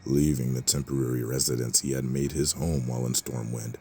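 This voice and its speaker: Very deep, gravelly male storyteller. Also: steady tone, dark tone, slight background noise. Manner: measured, solemn storytelling. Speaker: narrator. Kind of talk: scripted fantasy audio drama narration.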